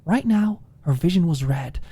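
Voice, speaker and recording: A woman narrates a dramatic ASMR audiobook. Her voice is low and dark, with a breathy edge that sinks toward a whisper. She reads deliberately and with expression. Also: expressive, dry recording.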